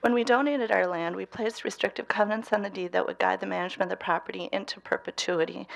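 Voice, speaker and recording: A hoarse woman with a low, gravelly voice and an Upper Midwest American accent reads a formal statement at a council podium. Her delivery is measured and deliberate. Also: rough recording. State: hoarse from a cold. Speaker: public commenter, mother of two speakers. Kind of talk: reading a prepared public statement. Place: city council chamber.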